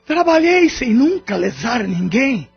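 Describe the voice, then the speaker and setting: A Brazilian man playing the solemn, loving spirit of a dead father in an audio drama, speaking formally and with measured care. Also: very high-pitched, sing-song, creaky, breathy edge, heavily acted. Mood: solemn, grateful. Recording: dull lo-fi audio with music underneath. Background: music.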